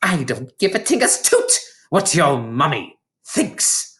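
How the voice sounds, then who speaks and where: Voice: medium pitch, very animated, slow pace, a bit bright, pitched above the performer's own voice. A reader voicing a huge, menacing, contemptuous headmistress who barks and bellows orders in a children's storybook. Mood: contemptuous, threatening. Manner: barking, bellowing commands.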